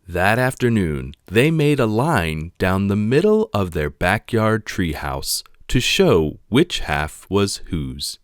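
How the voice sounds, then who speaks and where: A man reading a children's picture book aloud in an expressive narrating style. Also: on the low side, slow, few pauses, smooth, no room sound, clean studio sound. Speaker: storybook reader.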